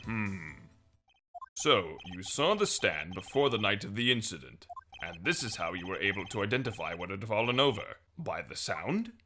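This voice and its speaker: Man playing an animated, formal courtroom judge. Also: lowish voice, normal speed, music in the background. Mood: deliberating. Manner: formal, commanding. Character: male courtroom judge, stern but easily puzzled. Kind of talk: fan-dubbed courtroom game voice acting.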